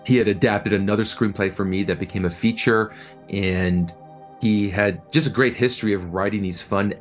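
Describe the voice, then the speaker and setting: A man answering interview questions as a producer, conversational and enthusiastic, often repeating words as he thinks. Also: lowish voice. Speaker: film and audio drama producer being interviewed.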